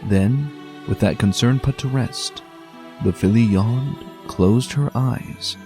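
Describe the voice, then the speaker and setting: A man narrating a dramatic fan fiction reading in a calm, measured way. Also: medium pitch. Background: faint music.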